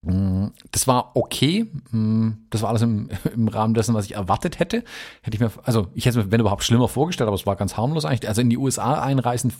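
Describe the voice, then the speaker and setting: A man's voice: mid-range voice, quick pace, darkish tone. A man from the United States, casually recounting a trip in a rambling, chatty way with many fillers. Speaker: podcast guest. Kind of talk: casual travel storytelling.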